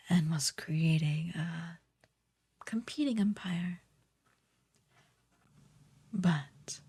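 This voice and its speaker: Evil sorceress queen, played by a woman: lowish, mellow and breathy, unhurried, haughty and ruthless, for fantasy ASMR roleplay. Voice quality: soft, breathy, partly whispered. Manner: slow, condescending, commanding.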